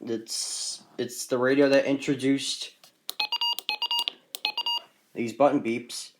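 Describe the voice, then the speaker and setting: A man's voice: medium pitch, little pitch movement, slow pace, heavy background noise. A man casually presenting a collection in an off-the-cuff, rambling, matter-of-fact way, recorded on a handheld phone. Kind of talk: casual off-the-cuff show-and-tell.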